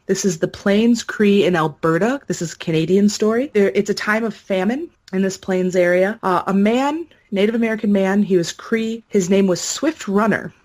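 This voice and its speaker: A woman's voice: medium pitch, moderate pace, few pauses, a little mellow. A woman giving an informal, conversational explanation, telling historical accounts as a podcast guest.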